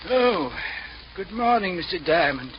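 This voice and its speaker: An actor playing a timid, deferential butler with formal, fussy manners in a 1940s radio drama. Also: male voice, high-pitched, big pitch swings, a little slow. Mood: prim, deferential. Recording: dull, noisy old radio recording.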